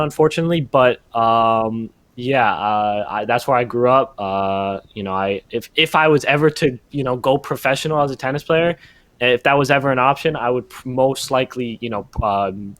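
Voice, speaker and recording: A man's voice: mid-range voice, a bit bright. Hesitant young man, Hebrew native. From Israel. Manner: hesitant, many restarts and fillers. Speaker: guest. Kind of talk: casual interview chat.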